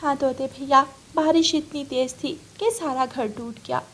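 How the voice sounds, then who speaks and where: A woman's voice: high-pitched, steady tone, a little slow, a bit bright. A woman voicing a kind, anxious mother sparrow in a children's cartoon story, earnest and worried. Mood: troubled.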